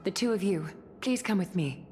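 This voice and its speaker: An actress playing a solemn, composed noblewoman leader in a video game, formal, measured and reserved. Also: mid-range voice, expressive, lightly acted. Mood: stern, composed. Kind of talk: scripted video game voice acting. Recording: loud background music. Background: music.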